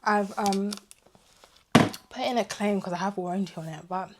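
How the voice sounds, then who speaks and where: Young woman, casual vlogger, chatty and animated, slightly hoarse voice. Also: medium pitch, moderate pace, slight background noise. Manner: chatty and animated, drifting into asides. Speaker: vlogger reviewing her tech purchases. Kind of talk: casual chat to camera.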